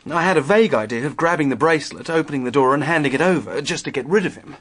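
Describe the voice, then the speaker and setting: An actor playing an anxious, earnest young man caught up in a murder in a radio drama, with an agitated, halting delivery. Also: fairly high pitch, fairly bright tone. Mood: panicky, pleading. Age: young adult.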